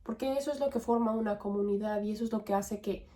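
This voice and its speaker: A young woman from Mexico with a Mexican accent, hosting a podcast and thinking aloud in a relaxed, conversational way with tag questions and fillers. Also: medium pitch, fast. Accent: Mexican Spanish. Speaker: podcast host. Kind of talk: reflective monologue.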